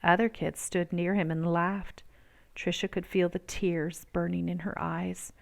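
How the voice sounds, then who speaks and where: A woman reading a picture book aloud as a storyteller, with expressive, measured and emphatic phrasing. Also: fairly low pitch, creaky. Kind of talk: expressive read-aloud narration.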